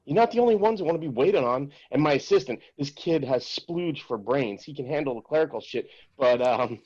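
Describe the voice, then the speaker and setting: A man's voice: mid-range voice, lively intonation, brisk, darkish tone, breathy edge, lo-fi sound. A man reading an angry, bitter male showrunner in a table read, heated and profane. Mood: scornful.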